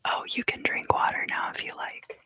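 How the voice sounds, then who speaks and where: A woman from the southern US East Coast giving a soft, unhurried ASMR card reading in whispers, often pausing. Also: low-quality recording. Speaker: tarot reader and ASMR creator.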